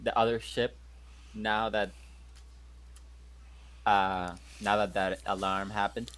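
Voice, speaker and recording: A man's voice: lowish voice, level tone, takes it slowly, a bit bright, slight background noise. Tentative male tabletop player. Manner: tentative, asking questions. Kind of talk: casual game-table talk.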